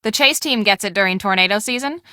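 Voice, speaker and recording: A woman playing a busy, bossy young storm-chase researcher in a video game, chatty and brisk as she gives instructions. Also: mid-range voice, pushed and bright, no room sound, studio quality, natural voice. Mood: candid, wry. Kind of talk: scripted game dialogue.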